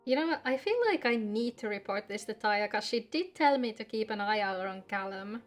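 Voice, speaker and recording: A woman's voice: mid-range voice, brisk, soft background music. A woman live-streaming a game, chatting casually and teasingly with viewers in sweary, informal talk.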